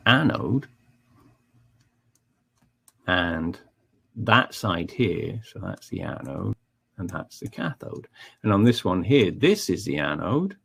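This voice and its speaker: A man's voice: sits low, gravelly, breathy edge. A man with a Scottish accent giving a technical webinar, explaining step by step with frequent ums and checking in with "okay?". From Scotland. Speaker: technical trainer.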